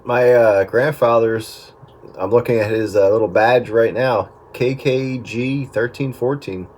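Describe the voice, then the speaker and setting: A man's voice: mid-range voice, steady tone, unhurried, background noise. A middle-aged man chatting casually about himself in a conversational way. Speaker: radio hobbyist on a group call. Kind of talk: casual chat.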